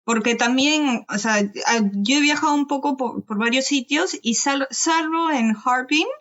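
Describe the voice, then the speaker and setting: A woman's voice: sits high, unhurried, fairly bright tone, highs cut off. A woman talking casually as a guest in a podcast panel discussion, sharing her own experience.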